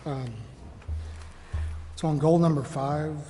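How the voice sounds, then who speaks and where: A man's voice: fairly high pitch, fairly even intonation, slow pace, dark tone, big-room sound, faint music behind. A man putting questions as a council member at a public meeting. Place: city council chamber.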